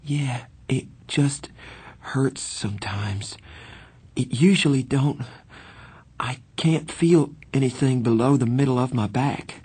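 A man voicing a badly injured, frightened sixteen-year-old street boy in an audiobook, weak and breathy, with halting, slangy speech. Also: medium pitch, darkish tone, no echo, voice pushed up. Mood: weak, pained. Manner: halting, drawling working-class slang.

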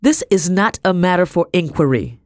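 An imperious mistress of a household, acted out by a woman narrator, shrill and superstitious. She snaps out commands with cruel force, as in a dramatic storybook, recorded close and dry. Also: animated, moderate pace, darkish tone, no room sound, natural voice. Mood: angry, commanding.

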